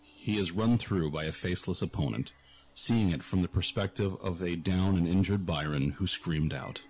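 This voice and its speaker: A man's voice: deep voice, normal speed, a little mellow, slight background noise. A man giving scripted narration for a fantasy audio drama.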